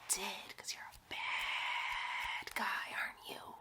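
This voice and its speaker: A woman's voice: slow pace, pushed and bright. A woman voicing a giddy, bossy young superhero sidekick in a whispered, theatrical, teasing ASMR roleplay. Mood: insistent, teasing.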